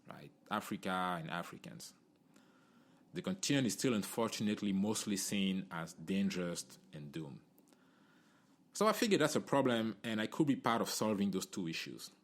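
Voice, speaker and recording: A middle-aged man from Cameroon, hosting a solo podcast in an earnest, conversational way, often tagging "you know" and "right?". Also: low-pitched. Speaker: podcast host.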